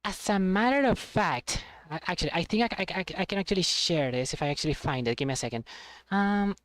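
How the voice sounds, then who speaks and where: A woman's voice: on the low side, animated, quick pace, no echo. A woman chatting casually with her stream chat, rambling and self-deprecating, laughing often and restarting her sentences. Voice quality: trained, voice she has been working on.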